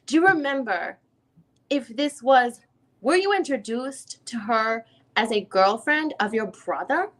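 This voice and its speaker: Woman reading as female lawyer doing a deposition, formal n methodical, bright highish voice. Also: animated, measured pace. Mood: formal, probing. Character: female lawyer questioning a witness at a deposition, persistent. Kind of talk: dramatic reading of a legal transcript.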